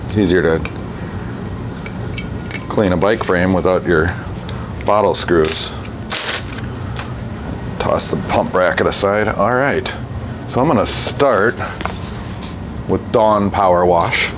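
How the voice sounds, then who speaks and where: A man working on a bike in his workshop, talking casually through each step with a relaxed, chatty manner. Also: low-pitched, slow, pauses often. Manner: relaxed, chatty, thinks aloud with fillers. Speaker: bike mechanic and channel host. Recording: workshop noise behind the voice.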